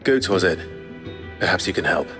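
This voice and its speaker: Low-voiced brooding warrior. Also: male voice, lively intonation, normal speed, over music. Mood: terse, guarded. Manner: grave, terse, intense. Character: brooding, vengeful branded male warrior, a fallen lord. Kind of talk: fantasy game cutscene acting.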